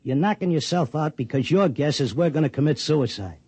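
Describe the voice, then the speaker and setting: A man's voice: a little high, expressive, a little fast, a little mellow, slightly rough, no room sound. An American actor playing a brash, streetwise racetrack tipster in a 1950s radio drama, with blunt, slangy wise-guy talk. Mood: urgent, bargaining. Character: brash, streetwise male racetrack tipster, stubborn and plain-spoken. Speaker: lead character and narrator. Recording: muffled 1950s radio broadcast, music behind.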